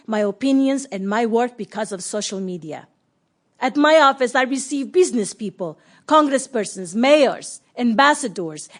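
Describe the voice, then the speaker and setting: Higher-voiced, earnest woman official played by an actress. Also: natural voice. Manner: earnest, declarative. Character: committed, proud Argentine woman anti-corruption official. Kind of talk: documentary stage play monologue.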